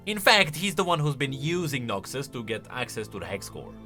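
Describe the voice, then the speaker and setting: Male commentary host. Bright, forceful voice with lots of swing, conversational and emphatic. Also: medium pitch, sing-song, fairly quick, faint music behind. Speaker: video host. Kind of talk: casual reaction and explanation commentary.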